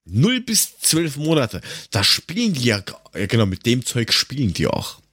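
A man's voice: medium pitch, wildly swooping pitch, moderate pace, a bit bright, slightly rough, no echo, clean studio sound. A man chatting casually as a podcast co-host, joking sarcastically with crude slang. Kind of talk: casual chat, humorous banter.

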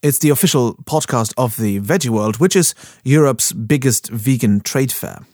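A man's voice: mid-range voice, normal speed, a little mellow, no room sound, studio quality. A man from Germany with a German accent, hosting a podcast in casual, rambling English full of fillers and self-corrections. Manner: rambling, self-deprecating, many fillers and restarts. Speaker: podcast host. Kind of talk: casual podcast intro monologue.

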